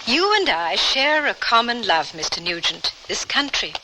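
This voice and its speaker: A woman's voice: on the high side, very animated, moderate pace, background noise. An actress playing a determined, proper Victorian lady traveller, formal and composed, in an old radio drama. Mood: courteous, determined.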